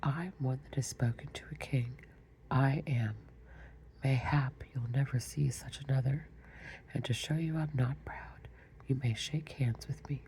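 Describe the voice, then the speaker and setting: A woman's voice: a bass voice, steady tone, unhurried, dark tone, a little hiss or hum, poor audio. A woman whispering as Humpty Dumpty, pompous egg. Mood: haughty. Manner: proud, argumentative. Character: pompous touchy egg man on a wall. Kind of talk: whispered storybook reading.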